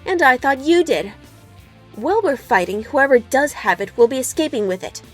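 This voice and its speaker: A proud female water warrior voiced by a woman with a fairly high voice. She is composed and defiant, in animated fan-dub style with music underneath. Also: normal speed, slightly rough.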